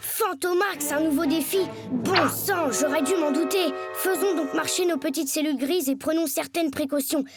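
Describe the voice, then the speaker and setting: A woman's voice: high voice, moderate pace, over music. A woman voicing a self-important schoolboy who plays master detective in a French cartoon, with precise, pompous delivery. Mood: wary. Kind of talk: French animated series dialogue.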